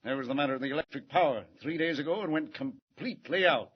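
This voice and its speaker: An actor playing an anxious, gruff middle-aged male police commissioner in 1940s radio acting. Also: a little high, quick pace, slight background noise, natural voice. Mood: worried. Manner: gruff, worried, urgent. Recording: muffled vintage radio broadcast.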